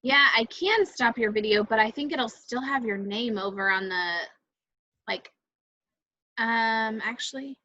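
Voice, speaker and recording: A woman with a high, forceful bright voice walks people through a video call. She thinks aloud in a hesitant, casual way, and the audio is dry and a little dull. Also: a little slow, no echo. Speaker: event moderator giving technical help. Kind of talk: casual technical walkthrough.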